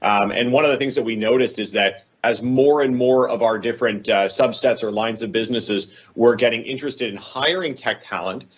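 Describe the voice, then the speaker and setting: A man's voice: mid-range voice, a little fast, hardly any pauses, husky, muffled recording. A man speaking as a corporate talent head on a panel, explaining his points reflectively and correcting himself as he goes. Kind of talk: conversational panel answer.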